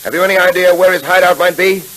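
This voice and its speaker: An actor playing a determined, commanding detective hero in a 1930s radio serial, on a hissy old recording. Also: male voice, high voice, fairly even intonation, a bit bright, poor audio. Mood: stern, urgent. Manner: brisk, commanding, authoritative.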